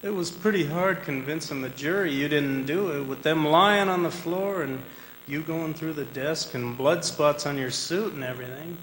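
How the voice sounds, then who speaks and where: Defense lawyer played by a man, high voice, blunt and reproving; stage radio drama, noisy reverberant hall recording. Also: normal speed, fairly bright tone, lightly acted. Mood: exasperated, grave. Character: exasperated defense lawyer, blunt yet loyal to client. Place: performing arts center stage.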